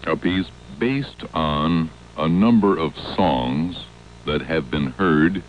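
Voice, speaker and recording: A man with an extremely deep voice presents a scripted radio introduction at a very slow pace. The shortwave sound is dull. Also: noisy background.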